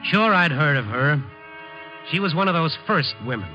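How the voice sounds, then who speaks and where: Hard-boiled reporter, wry and dry, 1950s radio drama narration, dull vintage recording, prominent music. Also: male voice, on the high side, lively intonation, moderate pace, sharp tone. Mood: brooding narration. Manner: dry wisecracking delivery. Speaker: lead actor and narrator.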